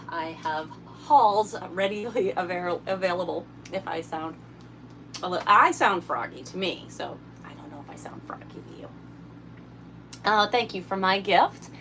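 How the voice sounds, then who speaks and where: A woman hosting a casual livestream while tidying her RV, a little hoarse from a summer cold, chatting and thanking viewers by name. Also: mid-range voice, a bit bright, a little hiss or hum, rough recording. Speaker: livestream host. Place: inside an RV.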